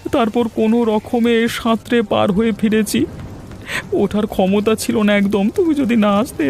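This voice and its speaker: A man's voice: extremely high pitch, gravelly, a little mellow, slight background noise. A man voicing a weak, frightened, half-drowned fisherman in a cartoon, whining and pleading. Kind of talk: Bengali cartoon folk-tale voice acting. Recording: music and rain behind the voice.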